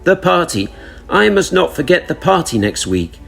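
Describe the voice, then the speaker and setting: A forgetful storybook man, put on by a male reader, repeating himself in an absent-minded chant. The voice sits raised and a little husky, over faint music. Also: on the high side, sing-song, normal speed, a bit bright, pitched above the performer's own voice, exaggerated voice. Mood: absent-minded, repetitive.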